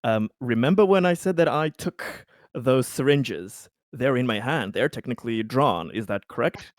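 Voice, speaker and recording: A man at an online game night talking his turn through with the group, medium-pitched, with no room echo. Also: lively intonation, moderate pace, studio quality. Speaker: tabletop game player. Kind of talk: casual game talk.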